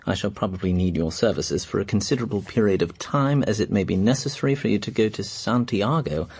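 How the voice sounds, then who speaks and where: An actor playing a genial, naive gentleman, a detective's loyal companion, narrating and talking. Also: on the low side, a little fast, breathy edge. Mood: earnest. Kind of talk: radio drama narration and dialogue.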